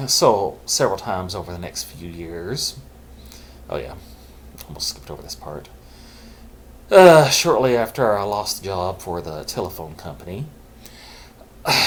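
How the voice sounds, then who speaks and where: A middle-aged man, a vlogger, candidly telling his own story in a halting, reflective way, holding his naturally loud voice down low. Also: a little hiss or hum. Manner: halting and reflective, with pauses and restarts; says he is keeping his loud voice low on purpose. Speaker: vlogger sharing his mental health story.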